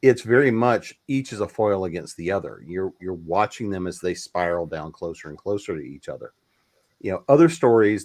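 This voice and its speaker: Author panelist, male, lowish voice, loose talker who riffs. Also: darkish tone. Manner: loose, conversational, with fillers and restarts. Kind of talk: casual panel discussion.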